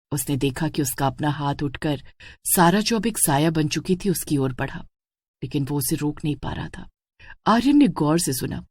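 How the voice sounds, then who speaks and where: A woman's voice: low voice, a little mellow, dry recording, studio quality. A woman giving a dramatic scripted narration of a horror story.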